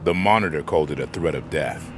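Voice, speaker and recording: A man's voice: rumbling low, fast. A man playing a controlled, stern soldier leading a squad in a video game, terse and commanding. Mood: stern, questioning. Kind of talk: video game cutscene acting. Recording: loud music behind the voice.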